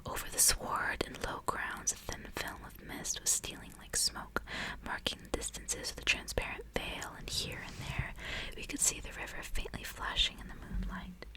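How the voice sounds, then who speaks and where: A woman reading aloud in a soft, breathy, hushed whisper, in an intimate ASMR style. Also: normal speed, low-quality recording. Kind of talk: whispered ASMR audiobook reading with casual asides.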